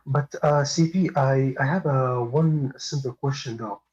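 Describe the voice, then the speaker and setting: A man's voice: medium pitch, a little slow, darkish tone. Hesitant man on a dull call line. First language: Arabic. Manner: hesitant, many fillers and restarts. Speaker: caller. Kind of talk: live stream call.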